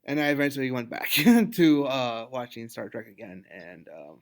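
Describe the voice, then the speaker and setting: A man with an American accent hosting a podcast, chatting casually in a halting, conversational way. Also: mid-range voice, very animated. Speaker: podcast host.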